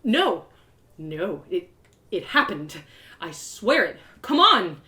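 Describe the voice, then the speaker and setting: A woman voicing an excitable young fantasy heroine from a storybook, stammering and urgent as she pleads stubbornly. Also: fairly low pitch, slow pace, voice pushed down, full character voice. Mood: insistent. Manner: stammering, urgent, repeats words. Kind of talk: storybook character voice in a live reading.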